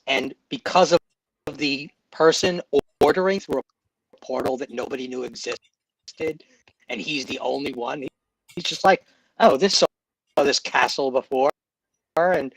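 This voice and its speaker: Gravelly, husky man in halting chat. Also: mid-range voice, fairly bright tone, no room sound, rough recording. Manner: halting, restarts often. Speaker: guest on a chat show. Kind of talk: casual chat.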